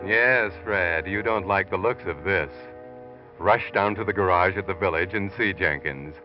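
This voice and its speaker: Deep, ominous radio thriller narrator. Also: male voice. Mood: ominous, taunting. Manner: knowing, goading, deliberate. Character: ominous, taunting narrator addressing the guilty man. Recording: dull vintage radio, music underneath.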